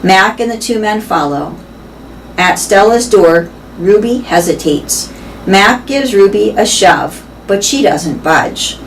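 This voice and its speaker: A woman's voice: mid-range voice, animated, takes it slowly, recorded from a distance, low-quality recording. A woman reading a children's story aloud in a storytelling style. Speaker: reader of a children's novel. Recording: clear background noise.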